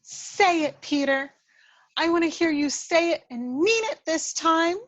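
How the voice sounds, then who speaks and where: A woman's voice: very high-pitched, measured pace, a bit bright, dry recording, voice pushed up. A woman voicing a bossy, feisty little fairy in an amateur script table read. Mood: demanding. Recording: dull lo-fi, dry microphone.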